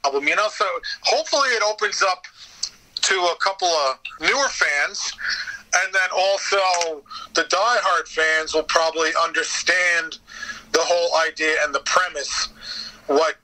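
A man with a fairly high, very bright and forceful voice talks casually as an interview guest. He tells stories in an animated way, acting out bits of conversation and swearing lightly. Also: unhurried, a little hiss or hum, poor audio. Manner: animated storytelling, quoting exchanges, mild swearing. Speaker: podcast guest, voice actor.

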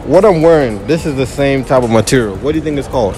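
A man's voice: mid-range voice, lively intonation, dark tone, soft background music. Playful young man interviewing passersby. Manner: teasing, playful, repeats options slowly. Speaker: street interviewer. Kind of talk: casual street interview. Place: outdoor shopping mall. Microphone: handheld phone.

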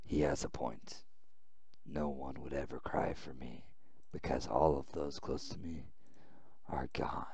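A man voicing a weak, bitter outcast boy in a visual novel game, halting and brooding. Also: rumbling low, steady tone, gravelly, a little mellow, voice pushed down, exaggerated voice. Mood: despairing.

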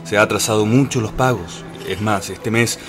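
An actor playing a firm, formal banker in a radio drama, speaking in an admonishing manner. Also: male voice, lowish voice, moderate pace, music in the background, lightly acted.

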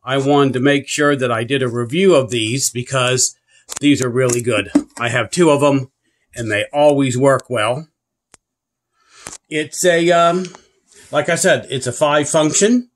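A man's voice: medium pitch, unhurried. Plain-spoken man reviewing a product. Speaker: product reviewer and channel host. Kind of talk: casual product review and demonstration. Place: carpeted room indoors.

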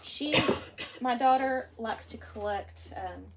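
A woman's voice: a little high, slow pace, darkish tone, a little hiss or hum. A woman, chatting to viewers as she unboxes thrift finds in an indoor workroom. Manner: chatty, addresses viewers as "y'all". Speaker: vlog host. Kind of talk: casual haul unboxing.

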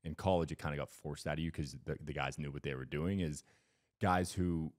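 Guy in his 30s on a podcast, low voice, rambly and animated, studio mic. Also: a little fast. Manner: rambling, animated, gestures a lot. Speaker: podcast co-host. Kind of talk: casual chat. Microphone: headphones and boom mic.

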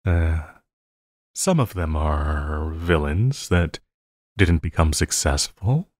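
A man playing an obsessive, lovesick supervillain in an audio roleplay, needy and melodramatic, with halting, stammering delivery. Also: extremely low pitch, animated, darkish tone, no room sound, clean studio sound, heavily acted. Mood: calmer, amused. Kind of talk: intimate audio roleplay acting.

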